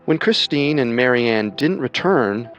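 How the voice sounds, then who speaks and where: A man narrating a scripted true-crime story with measured, dramatic storytelling. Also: mid-range voice, expressive, fairly bright tone, faint music behind.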